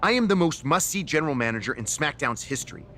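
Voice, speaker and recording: An actor playing a vain, exasperated wrestling general manager in a video game, with a self-important manner. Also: male voice, a little high, lively intonation, fast talker, a bit bright, a little hiss or hum. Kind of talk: scripted video game cutscene acting.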